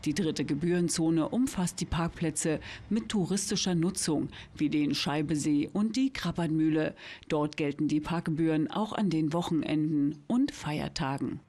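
A news narrator giving a brisk, factual scripted report on local rules. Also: medium pitch, darkish tone.

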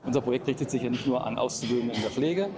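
A man's voice: medium pitch, rapid-fire, loud music behind the voice. A man giving a spontaneous interview statement as a project representative. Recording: crowd chatter behind.

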